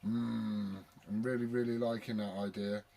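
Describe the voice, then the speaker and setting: A man's voice: fairly low pitch, level tone, moderate pace, creaky, dark tone. A British man chatting casually as a CB radio operator, blokey and sweary in his talk. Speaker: CB radio operator and video maker.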